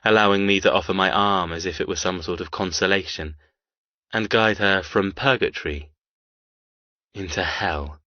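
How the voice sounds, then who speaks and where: Casting: male actor as cruel young dark-romance antihero, low voice with a hard, forceful edge, brooding audiobook delivery. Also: moderate pace, no echo, highs cut off. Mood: menacing, contemptuous. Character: cold, cruel, menacing young man narrating dark romance. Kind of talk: dramatic first-person audiobook narration.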